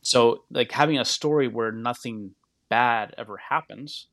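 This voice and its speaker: A man's voice: medium pitch, measured pace, a bit bright, no room sound. A man speaking as a podcast guest, thinking aloud and explaining in a reflective, conversational way.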